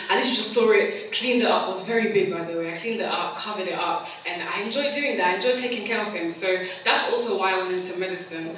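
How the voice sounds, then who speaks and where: Young east woman, bright voice, chatty, laughing a lot, big echoey hall. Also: mid-range voice, recorded from a distance, a little hiss or hum. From East London, England. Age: 20s. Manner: chatty, laughs easily, self-correcting. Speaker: conference speaker. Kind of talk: personal story on stage. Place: large conference hall. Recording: echoey hall, mic heard from afar.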